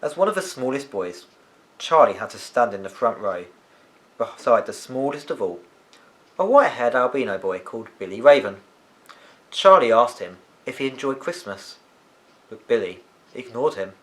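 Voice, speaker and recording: A man reading a children's novel aloud as an expressive storyteller. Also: mid-range voice, normal speed, pauses often, low-quality recording.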